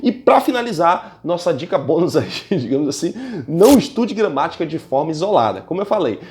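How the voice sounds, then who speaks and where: A young Brazilian man with a Brazilian Portuguese accent gives exam-prep tips straight to camera. He talks fast and loosely, full of slang and direct asides to the viewer. His voice sits a little high and has a gravelly edge. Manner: animated, slangy and direct, addresses viewers as "gente" and "cara". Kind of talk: casual video lesson.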